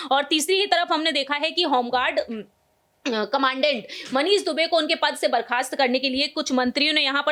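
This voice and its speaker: A woman with a high-pitched voice speaks as a news co-presenter. She gives running analysis in long, wordy sentences with repeated stock phrases. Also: fairly bright tone. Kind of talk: news discussion commentary.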